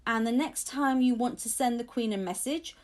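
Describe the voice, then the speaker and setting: A woman voicing a courteous, reassuring male royal guard lieutenant in a storybook, formal and measured. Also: on the high side, natural voice. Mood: proud, friendly.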